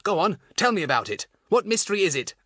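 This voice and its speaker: A man's voice: high voice, big pitch swings, quick pace, fairly bright tone, no room sound, studio quality, voice pushed up, heavily acted. A man voicing a schoolboy's mimicry of a startled, gullible village man, exclamatory and demanding.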